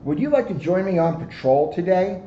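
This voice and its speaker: Man as a gentle, kindly policewoman, roomy sound. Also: high-pitched, expressive, normal speed, highs cut off, voice pushed up. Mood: friendly. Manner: gentle, soothing, encouraging. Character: kindly, reassuring adult female police officer. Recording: roomy recording with background noise.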